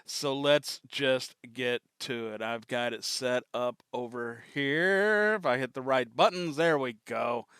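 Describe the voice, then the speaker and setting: A man's voice: mid-range voice, slow pace, hardly any pauses, fairly bright tone, clear, resonant tone, dry recording, studio quality. A man hosting a reaction show, chatting casually in an easygoing way.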